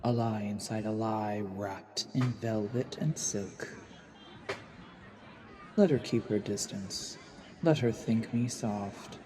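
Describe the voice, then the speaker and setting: A man playing a coldly calculating assassin who poses as a lord, narrating gravely and deliberately in a fantasy audio drama. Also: lowish voice, noisy background. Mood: brooding, menacing.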